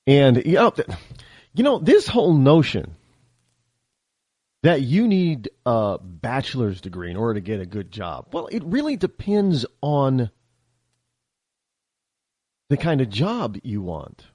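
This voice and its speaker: A male radio host with a faint upstate New York accent talks in a loose, digressive way, often circling back and restarting his sentences. His pitch swings up and down a lot, and the studio sound is close and dry. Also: very animated, a little slow, pauses often, a little mellow, no echo. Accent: central upstate New York. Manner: rambling, digressive, many restarts. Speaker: radio talk show host. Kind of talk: casual call-in radio talk.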